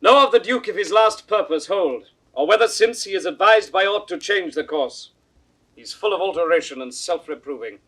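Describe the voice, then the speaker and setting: Husky, scheming young lord. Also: male voice, high voice, expressive, normal speed, pushed and bright, heavily acted. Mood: brisk, commanding. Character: smooth-talking, scheming young military lord, proud and evasive. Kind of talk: 1960s classical Shakespeare audio drama acting.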